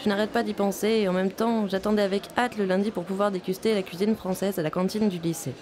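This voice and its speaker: A young woman from Vietnam, a native Vietnamese speaker, calmly narrating a personal video diary as a visiting film student. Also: medium pitch, quick pace, some chatter behind, a little hiss or hum. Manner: calm, reflective, first-person storytelling.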